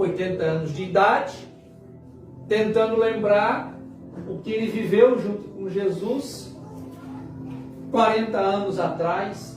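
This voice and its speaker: A Brazilian man in his late fifties preaching a sermon as a pastor, using dramatic pauses and repetition for emphasis. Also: sits high, darkish tone. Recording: very echoey hall, distant mic, music behind. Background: music.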